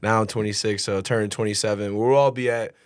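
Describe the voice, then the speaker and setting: A man's voice: lowish voice, steady tone, quick pace. A man in his twenties co-hosting a podcast, chatting in a friendly way and reminiscing, laughing often. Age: 20s. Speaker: podcast co-host. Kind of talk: casual interview talk.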